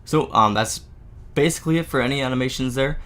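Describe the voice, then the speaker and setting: A man with a sick, slightly off voice, casually walking through a software tutorial with lots of filler words. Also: medium pitch, quick pace. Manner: casual, many "uh" and "there" fillers. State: sick, voice a bit off. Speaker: game engine tutorial maker. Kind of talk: screen-recorded software tutorial.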